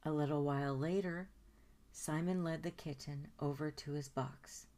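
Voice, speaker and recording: A woman narrating a children's picture book aloud in an expressive storytime style, stretching words for emphasis. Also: sits low, normal speed. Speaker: storytime host reading aloud.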